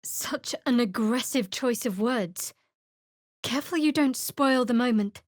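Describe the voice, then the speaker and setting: A close, dry studio sound carries a young female cleric of a dark goddess, acted by a woman, in a fantasy video game. Her voice sits fairly high. She is guarded and sardonic, with cool, wry, clipped delivery. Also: unhurried, dry recording, natural voice.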